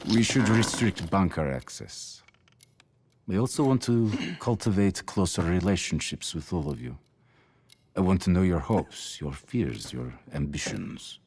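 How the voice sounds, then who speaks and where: An actor playing a polite, insinuating and menacing Soviet security chief in a game cutscene, with husky, silky formality. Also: male voice, low-pitched, animated, unhurried, darkish tone, a little hiss or hum. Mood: quietly menacing. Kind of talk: dramatic video game cutscene acting.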